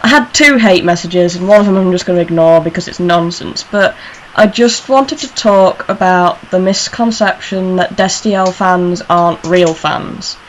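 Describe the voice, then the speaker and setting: A woman's voice: lowish voice, normal speed, rough recording. A woman hosting a radio discussion in a casual, chatty style. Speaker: radio show host. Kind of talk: casual chat. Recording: faint background noise.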